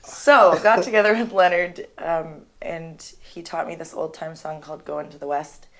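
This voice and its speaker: A young woman from Canada, a singer-songwriter, chatting in an interview and telling stories in a lively, laughing way. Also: low-pitched, moderate pace. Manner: chatty, animated storytelling with laughs. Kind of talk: casual interview.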